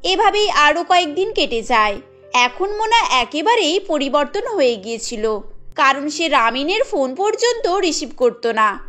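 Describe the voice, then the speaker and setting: Female storyteller for cartoon narration, extremely high voice with animated swings, soft music behind. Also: unhurried. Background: faint music.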